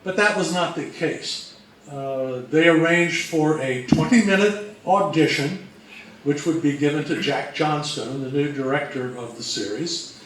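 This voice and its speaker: A man co-presenting a slide talk on history in a measured, deliberate way. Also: a little high. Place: reverberant room, live presentation. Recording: very echoey room, distant mic, faint noise.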